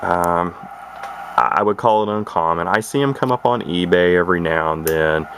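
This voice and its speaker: Low, gravelly man showing off gear. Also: moderate pace. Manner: rambling, hesitant, repeats words. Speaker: radio collector presenting his collection. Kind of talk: casual product demonstration. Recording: noisy amateur camera recording.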